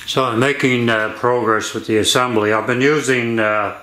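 An older man giving a hands-on how-to in a halting, deliberate, matter-of-fact way, recorded in a garage workshop. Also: medium pitch, steady tone, pushed and bright. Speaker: DIY demonstrator. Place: indoor garage workshop with hard floor. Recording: echoey garage room.

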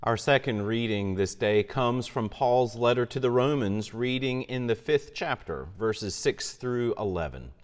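A man's voice: mid-range voice, animated, a little slow, hardly any pauses. A middle-aged male pastor reading scripture firmly on a lapel mic in a church sanctuary. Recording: reverberant sanctuary.

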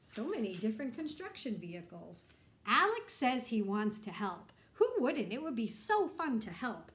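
A middle-aged woman reading a picture book aloud to children, explaining and asking simple questions in an animated way. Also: a little high, moderate pace, echoey room. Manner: animated, explanatory, addressing young listeners. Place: bright indoor room.

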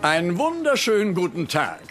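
An actor playing a sarcastic older hospital chief, pompous and mock-courteous, in a dubbed TV comedy. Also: male voice, sits high, huge pitch swings, moderate pace, over music. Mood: mock-polite. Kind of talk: German-dubbed TV comedy acting.

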